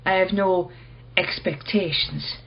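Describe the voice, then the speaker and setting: A woman playing a reserved, quietly probing art gallery owner in a radio play, measured and understated. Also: on the low side, muffled recording. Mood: gently probing.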